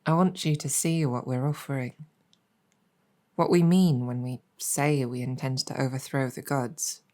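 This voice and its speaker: Woman as a low, unhurried, courteous demon general. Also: steady tone. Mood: inviting, earnest. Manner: soft-spoken, hesitant, measured, wry. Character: female demon general, courteous, wry, gracious host. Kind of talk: improvised ASMR roleplay storytelling.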